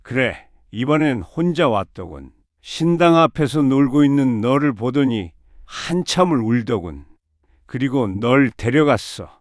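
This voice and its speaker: A man's voice: mid-range voice, animated, measured pace, no room sound, clean studio sound, lightly acted. A man acting a folksy old village chief. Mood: wistful recounting. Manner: folksy, plain old-timer storytelling.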